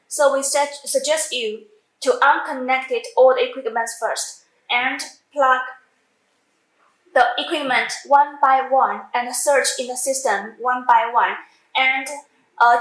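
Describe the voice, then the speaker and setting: A woman who speaks English as a second language, giving a halting step-by-step software tutorial with repeats and self-corrections. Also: high voice. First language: not English. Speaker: product tutorial presenter. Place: indoor room, demonstrating at a computer screen. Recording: echoey room sound.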